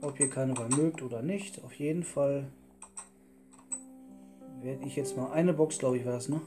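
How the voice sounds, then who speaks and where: A man comments casually on a game as he plays. His voice sits at an average pitch. Guitar music plays clearly behind his talk. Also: normal speed. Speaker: let's player. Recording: loud guitar music underneath.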